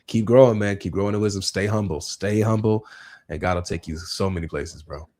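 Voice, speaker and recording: Livestream host, male, lowish voice, informal faith talk, emphatic and repetitive for emphasis. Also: fairly quick, a little mellow. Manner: emphatic, repeats phrases for emphasis. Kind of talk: informal religious discussion.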